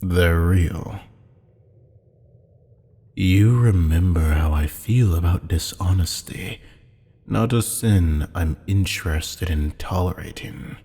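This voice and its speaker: A man playing a haughty, amused demon buyer in an audio roleplay, with a husky, sardonic, teasing drawl. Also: deep voice, takes it slowly, warm tone, exaggerated voice. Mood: skeptical, warning. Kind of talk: scripted audio roleplay acting. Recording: long hall-like reverb.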